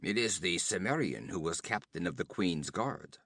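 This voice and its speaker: A man's voice: sits low, expressive, normal speed, a bit bright, no room sound, studio quality, voice pushed down, exaggerated voice. Man doing a guttural desert tribesman. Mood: gruff.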